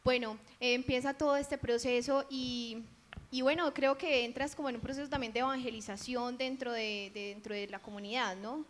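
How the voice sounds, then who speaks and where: A woman's voice: on the high side, fairly even intonation, normal speed. A woman hosting a faith interview, asking her guest questions in a friendly, inviting way. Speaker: interview host.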